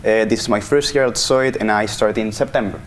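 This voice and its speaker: A man's voice: on the low side, a little fast, a little hiss or hum. A man in his twenties from Venezuela, a student telling his story eagerly. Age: 20s. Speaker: student panelist. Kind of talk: casual panel talk.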